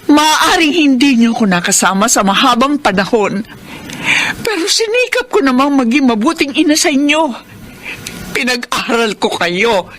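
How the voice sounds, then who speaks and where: A woman's voice: sits high, sing-song, moderate pace, fairly bright tone, slight background noise. An actress playing an earnest, emotional mother back from working overseas, in a Filipino radio drama. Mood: hurt, pleading. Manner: emotional, maternal. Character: earnest mother returning home from overseas work.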